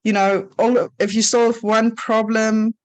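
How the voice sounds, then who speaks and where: A woman's voice: a little high, flat delivery, measured pace. A woman moderating a panel, asking probing, rambling questions full of fillers. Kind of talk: panel discussion.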